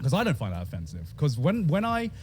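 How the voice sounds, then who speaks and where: A man's voice: fairly high pitch, huge pitch swings, fast, a little hiss or hum. A man chatting casually, rambling and repeating himself as he talks. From England. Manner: rambling, repeats words, laughs often. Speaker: streamer. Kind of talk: casual chat.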